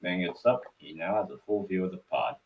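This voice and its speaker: A man's voice: sits low, fairly quick, recorded from a distance, phone-quality sound. A man narrating stage directions for a cartoon table read in a scripted storytelling style.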